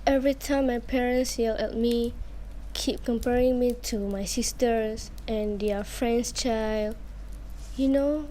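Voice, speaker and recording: Girl acting a sad young patient in a radio play, slow n hesitant, slightly high soft voice. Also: steady tone, a little hiss or hum, poor audio. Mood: hurt. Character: downcast young woman patient in her twenties. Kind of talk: amateur radio drama acting.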